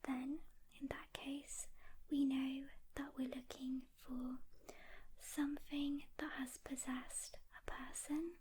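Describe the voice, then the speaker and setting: A woman whispering a soft-spoken ASMR roleplay as a calm, caring demon hunter, gently reassuring a rookie with pauses, close to the mic. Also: sits high, a little hiss or hum, rough recording.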